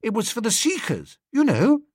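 A man's voice: extremely high pitch, wildly swooping pitch, normal speed, slightly rough, no room sound, studio quality, voice pushed up, heavily acted. A man voicing a mild, silly young lord with an upper-class English accent, apologetic and chatty, in a comic audiobook. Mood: chatty, eager.

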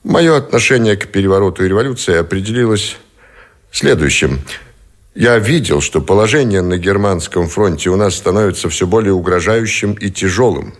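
A dignified naval officer in a radio play. His voice is low and his delivery is deliberate and formal. He sounds reserved, choosing each phrase with measured care. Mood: formal, composed. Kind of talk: Russian radio drama acting.

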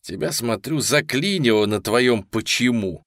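A man voicing a menacing young male criminal who speaks slowly and coldly, with contempt. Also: medium pitch, lively intonation, fairly bright tone, no room sound, studio quality. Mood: bitter. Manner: slow, cold, contemptuous.

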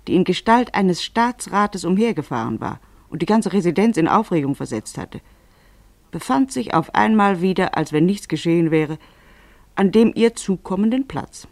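A man's voice: sits high, gravelly, dry recording. A man reading scripted literary narration as a narrator in a radio play.

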